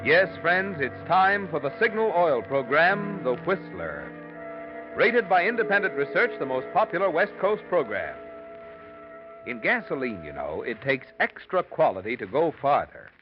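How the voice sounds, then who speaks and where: A male announcer delivers an upbeat sales pitch with an animated, slightly high voice. Faint music sits under him. The recording is dull and dated. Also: moderate pace. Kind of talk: radio commercial announcing. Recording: dull old radio recording.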